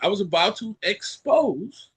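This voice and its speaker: Lively, breathy-voiced man telling stories. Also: high voice, measured pace. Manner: animated storyteller who acts out other people's lines and laughs mid-sentence. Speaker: podcast co-host. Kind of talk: casual chat, storytelling.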